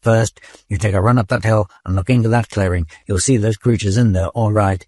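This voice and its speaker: A stern old keeper who barks curt orders and climbs high when he gets going, voiced by a male storyteller. His base voice is lowish and the recording dry. It is a storybook reading. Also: fairly even intonation, a little fast, dry recording, lightly acted. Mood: commanding. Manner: curt, commanding, shouts orders.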